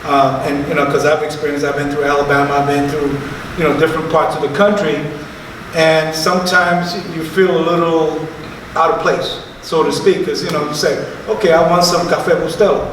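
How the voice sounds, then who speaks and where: Moderator in an echoey hall, from afar. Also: male voice, fairly high pitch, little pitch movement, fairly quick, far from the mic, noisy background. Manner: conversational, chatty asides. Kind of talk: panel discussion moderating. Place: museum event space, live audience.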